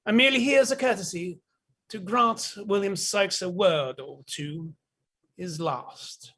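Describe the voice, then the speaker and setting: Man acting a high-voiced, coldly menacing captain. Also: bright, forceful tone, exaggerated voice. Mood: cold, commanding. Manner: pompous, deliberate, mock-polite. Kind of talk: dramatized stage play reading.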